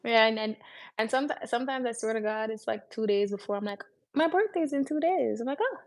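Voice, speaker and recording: A young woman in casual conversation as a podcast co-host, musing in a low-key way. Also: fast. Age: young adult. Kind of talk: casual chat.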